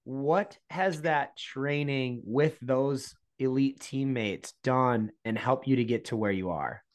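A man's voice: medium pitch, slow pace. A man hosting an interview, leading into his questions with long chatty asides. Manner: chatty, rambling lead-ins to questions. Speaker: podcast host.

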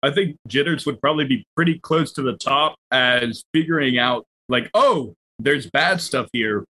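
A man's voice: mid-range voice, normal speed, bright, forceful tone. A man chatting casually as a player in a tabletop game. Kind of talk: casual chat. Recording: faint background noise.

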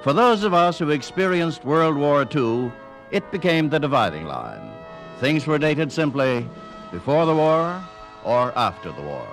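A man's voice: on the high side, very animated, unhurried, music in the background. An older American man reading scripted documentary narration as host of a radio history program.

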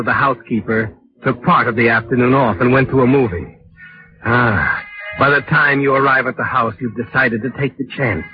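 Actor as ominous old-time radio suspense narrator. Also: male voice, on the high side, natural voice. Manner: dramatic, deliberate, insinuating. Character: ominous, knowing, taunting male storyteller addressing the culprit. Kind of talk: 1940s radio suspense narration in second person. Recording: dull vintage radio with loud music.

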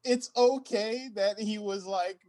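A woman on a podcast panel, chatting casually in a slangy, halting way. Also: medium pitch, darkish tone. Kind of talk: casual group chat.

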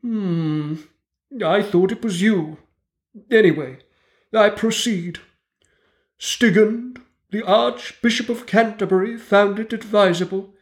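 A woman's voice: medium pitch, slow pace, pauses often, voice pushed up, close to an everyday voice. A woman voicing a prim, bossy female mouse who lectures a group in a pompous, schoolmarmish way.

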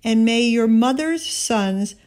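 A woman giving a scripted Bible reading, narrating and voicing the dialogue in deliberate, measured phrasing. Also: a little high, expressive, a little mellow. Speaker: scripture reader.

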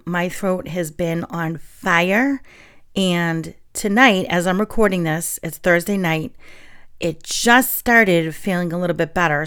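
A woman's voice: fairly low pitch, clear, resonant tone. A young woman from New England hosting a casual solo podcast, chatting and reading aloud while getting over a sore throat. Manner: chatty and friendly, with fillers and soft laughs. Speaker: podcast host.

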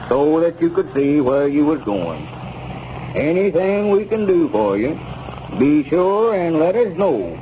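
An actor playing a scheming, self-important old squire who drawls in a 1930s radio comedy. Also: male voice, a little high, mellow. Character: aggrieved, scheming old squire, pressing two friends for money. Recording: noisy, muffled 1930s radio recording.

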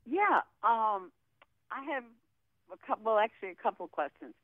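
A woman's voice: a little high, sing-song, normal speed, no room sound. A woman calling in by telephone, explaining casually and hesitantly, often correcting herself, over a tinny, narrow line. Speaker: phone-in caller.

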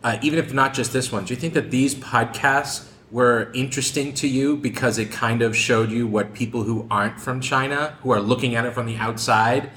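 A man leads a group discussion as its host, speaking in an informal, conversational way. His voice sits at a middle pitch in a roomy, echoing space with faint noise behind it. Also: steady tone, moderate pace, hardly any pauses, a bit bright. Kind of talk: casual group discussion moderating.

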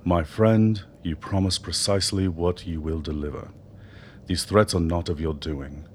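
An actor in a radio drama playing an older doctor, a persuasive political mentor who speaks in measured, eloquent phrases. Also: male voice, low-pitched, steady tone. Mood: assured, persuasive. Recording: noticeable background noise.

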